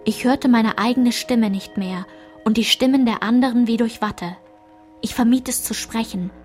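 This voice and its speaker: A woman's fairly high voice acts out a sober, unsparing narrator looking back on girlhood in a radio play. She is precise and matter-of-fact, with faint music underneath. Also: normal speed, darkish tone, lightly acted. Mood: sober recollection.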